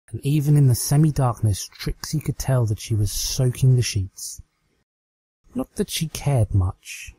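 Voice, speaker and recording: Slow, mellow male story narrator. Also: mid-range voice, breathy edge, rough recording. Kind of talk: scripted fan fiction narration.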